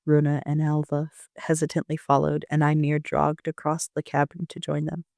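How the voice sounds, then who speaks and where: Creaky, even-toned male story narrator. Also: a little high, normal speed, no echo, clean studio sound. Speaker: amateur author-narrator. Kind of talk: scripted first-person story narration.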